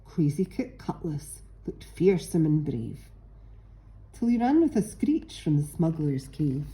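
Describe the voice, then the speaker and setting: A woman narrating a rhyming picture book in an expressive, measured and dramatic storytelling style. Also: low voice, poor audio.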